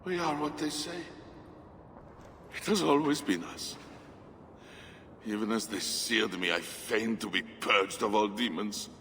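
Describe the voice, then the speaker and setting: An actor playing a mad, scarred king in a video game, raving theatrically and haltingly about the demon inside him. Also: lowish voice, sharp tone, roomy sound, big-room sound, slight background noise. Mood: haunted reverence. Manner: theatrical, halting then erupting. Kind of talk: dramatic video game acting.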